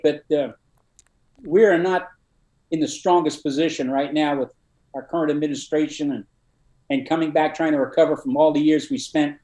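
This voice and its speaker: An older man, a retired army colonel, telling war stories in a dry, matter-of-fact way with many pauses and fillers. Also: on the high side, warm tone, echoey room. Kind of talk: casual interview storytelling.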